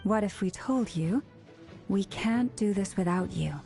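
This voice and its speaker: A woman playing an earnest, principled and persistent heroine in a video game, calm and sincere. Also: medium pitch, measured pace, soft background music, lightly acted. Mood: earnest, negotiating.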